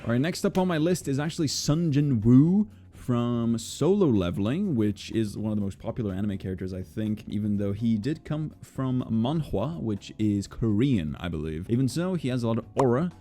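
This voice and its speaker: A young man who creates videos, chatting casually in an informal, excitable and expressive way. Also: medium pitch, normal speed, few pauses, soft background music. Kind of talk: casual chatty vlog talk.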